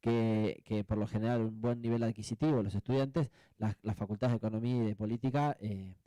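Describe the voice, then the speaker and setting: Argentine man, very mellow dark voice. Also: fairly low pitch, steady tone, dry recording. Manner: reflective, halting, often seeking agreement with tag questions. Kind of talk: spontaneous panel discussion answer.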